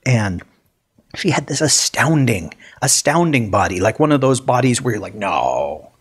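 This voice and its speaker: A man co-hosting a casual podcast, telling stories in a lively way with lots of restarts. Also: medium pitch, unhurried, husky. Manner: animated storyteller, many restarts. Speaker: podcast co-host. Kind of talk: casual chat.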